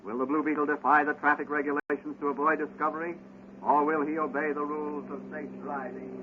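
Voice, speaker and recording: A man's voice: on the high side, level tone, a little fast, narrow, tinny audio, slight background noise. A man with an American accent narrating a 1940s radio serial in a dramatic, declamatory way. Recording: band-limited old radio recording.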